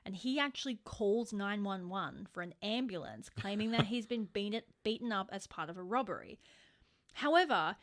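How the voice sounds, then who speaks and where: A woman's voice: mid-range voice, lively intonation, normal speed. Woman telling true crime, emphatic delivery. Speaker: podcast co-host. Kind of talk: true-crime storytelling, conversational.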